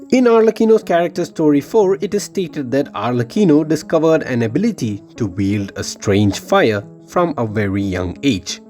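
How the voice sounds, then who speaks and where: A man's voice: mid-range voice, a little mellow. A man reading a scripted game lore theory voice-over with dramatic, emphatic phrasing. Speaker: video game lore YouTuber.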